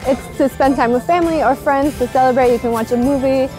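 High-pitched enthusiastic woman vlogger. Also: fast. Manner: enthusiastic, explanatory. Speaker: travel vlogger, host. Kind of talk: upbeat travel vlog presenting to camera. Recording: music bed behind the voice. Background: background music.

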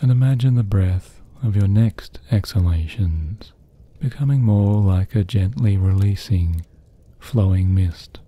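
A man with a lowish, very mellow and dark voice guides a sleep meditation. His tone is husky and breathy, hushed and soothing. He delivers each phrase gently and leaves long pauses. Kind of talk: guided sleep meditation, slow scripted narration.

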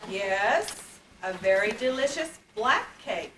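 A woman's voice: medium pitch, lively intonation, slow pace, a bit bright. A woman playing a cheerful, polite young girl princess in an amateur puppet show, earnest and coaxing, recorded on a camcorder. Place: indoor convention stage, distant camera. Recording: echoey, distant amateur camcorder, noisy.

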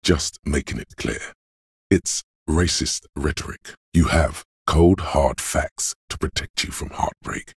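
A man voicing a game character, the inner voice of cold logic, husky and gravelly, speaking in a measured, cerebral, matter-of-fact way. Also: rumbling low, dry recording, clean studio sound, full character voice. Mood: analytical, reassuring. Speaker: video game voice actor. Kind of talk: scripted game narration of inner thoughts.

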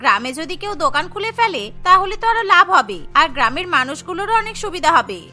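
Woman playing very high, plaintive young village wife. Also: big pitch swings, quick pace, bright, forceful tone, faint music behind, exaggerated voice. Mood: indignant. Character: whiny, clever young village sister-in-law. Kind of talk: Bengali cartoon dialogue.

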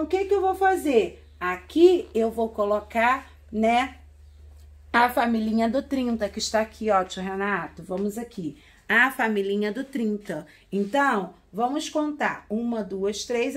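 A woman in her forties leads a remote lesson for small children, her voice sitting a little high. She counts slowly, repeating every number in a gentle sing-song. The sound is close and plain, like a phone held nearby in a small classroom. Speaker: primary school teacher. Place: classroom with wall charts, indoors.

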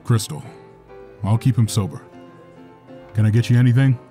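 An actor playing a flippant, easygoing bartender in an audio drama. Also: male voice, medium pitch, normal speed, dark tone, faint music behind, natural voice.

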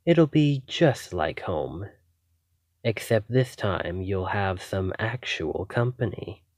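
A man playing an obsessive, possessive vampire in an ASMR roleplay, condescending, commanding and deliberate. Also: low voice, lively intonation, no echo, clean studio sound, full character voice. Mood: coaxing, possessive. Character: possessive, obsessive male vampire, smugly menacing. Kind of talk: ASMR roleplay, scripted acting.

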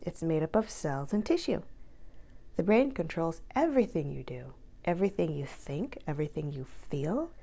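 A woman narrates a picture book for children with very lively intonation. Her voice sits a little low and has a gravelly creak. She reads deliberately, stretching important words for emphasis. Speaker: counselor reading a children's book aloud. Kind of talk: expressive read-aloud narration for children.

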